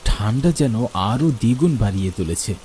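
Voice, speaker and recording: A man's voice: on the low side, lively intonation, normal speed, warm tone, slight background noise. Male horror story narrator over music.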